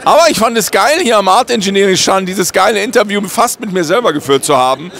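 A man's voice: sits high, lively intonation, fast talker, vocal fry, fairly bright tone. A man vlogging at a trade fair stand, interviewing guests in a joking, self-mocking, rambling way.

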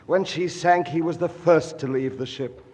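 An actor playing an old English ghost of a noble line, speaking in grandiose archaic phrases in 1940s radio style. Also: male voice, fairly high pitch, normal speed, noisy background, natural voice. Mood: grand, mournful. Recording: old radio recording, reverberant hall.

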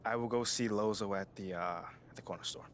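A man's voice: on the low side, brisk, a little hiss or hum, close to an everyday voice. A man playing an easygoing, eager young basketball player in a game cutscene, casual, boyish and slangy. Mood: sheepish. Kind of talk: video game cutscene acting.

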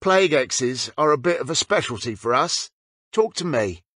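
A man's voice: medium pitch, expressive, normal speed, fairly bright tone, dry recording, clean studio sound. A man voicing a sly, easygoing teenage boy in an audiobook story. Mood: conspiratorial. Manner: conspiratorial, wry.

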